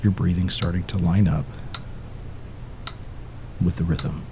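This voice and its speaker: A deep male voice with a gravelly fry guides the listener toward sleep as a hypnotist. He speaks in short, measured, soothing phrases with pauses, the endings softening almost to a whisper. A noticeable bed of rumbling, ticking noise runs behind him. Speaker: hypnotist guiding a sleep session. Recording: steady background noise, low rumble and ticking. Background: ticking clock-like rhythm.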